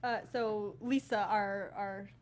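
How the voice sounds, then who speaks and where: A woman's voice: medium pitch, fairly even intonation, slow. A woman co-hosting a casual panel discussion about a film. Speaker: talk show co-host.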